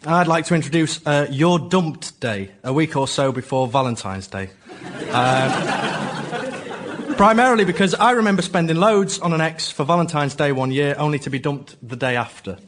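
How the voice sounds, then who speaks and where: A man with a slightly high voice gives an informal pitch in a plain, earnest way. There is clear background noise from the studio. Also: animated, normal speed, a bit bright. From Hull, England. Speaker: member of the public pitching an idea. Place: radio studio with live audience.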